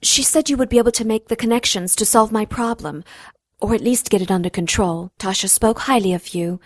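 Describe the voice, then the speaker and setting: A woman's voice: medium pitch, fairly quick, dry recording, clean studio sound, natural voice. A woman playing a worried, protective single mother, speaking politely and earnestly in a radio drama.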